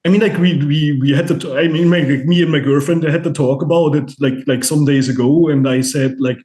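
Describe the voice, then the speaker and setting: A man's voice: on the high side, steady tone, darkish tone. German man in a roomy-sounding conversation. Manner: halting, many restarts, swears freely. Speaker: podcast guest, musician. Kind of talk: casual conversation. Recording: roomy echo.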